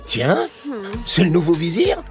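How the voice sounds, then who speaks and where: A man's voice: a little high, wildly swooping pitch, a little slow, music in the background. A man playing a wry, teasing, clever trickster in a children's cartoon. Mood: mocking. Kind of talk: animated children's cartoon acting.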